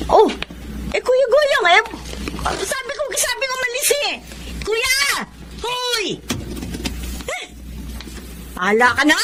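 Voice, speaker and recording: An actress playing a lively, scolding younger sister in a radio drama, expressive and chiding, with a Filipino accent. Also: female voice, very high-pitched, slow, long pauses, background noise, full character voice. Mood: worried, scolding.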